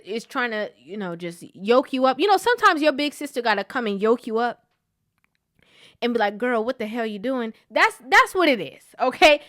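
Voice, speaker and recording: A woman vlogger giving frank, emphatic commentary with a stuffy, sniffly nose, often checking in with a quick "okay?". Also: a little high, a bit bright, no room sound, clean studio sound. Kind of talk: opinionated commentary.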